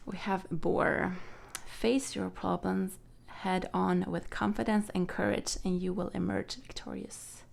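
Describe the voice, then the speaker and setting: A young woman whose first language is Swedish gives a tarot card reading in a soft, hesitant way with many fillers. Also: mid-range voice, steady tone, takes it slowly, vocal fry, few pauses. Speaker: tarot reader.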